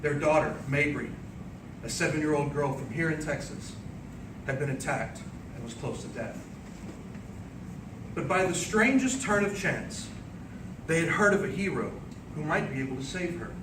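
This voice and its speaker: A man delivering a theatrical speech as an epic tale, with dramatic, declamatory and deliberate phrasing. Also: a little high. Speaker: speech contest speaker. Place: indoor room before an audience. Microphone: distant microphone. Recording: roomy, distant microphone, clearly noisy background.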